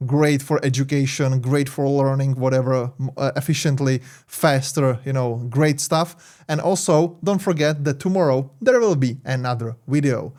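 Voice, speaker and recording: A man's voice: mid-range voice, normal speed, a little mellow. A man with a Czech accent, a tech YouTuber talking casually over his screen, rambling with many fillers and self-corrections. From Czech Republic.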